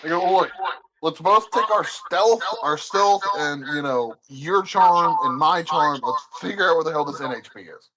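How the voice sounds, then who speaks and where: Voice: mid-range voice, moderate pace, fairly bright tone, rough recording. A player chatting and joking casually during a tabletop game. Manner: laughing, joking.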